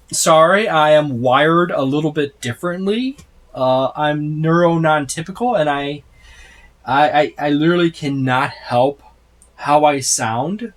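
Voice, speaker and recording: Guy in his 30s flipping thru a game book, kinda high voice, lots of uhs and restarts, mic up close. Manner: rambling, many fillers and restarts. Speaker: tabletop gaming video host. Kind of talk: casual book overview. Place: room full of game shelves, indoors. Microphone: special microphone, close.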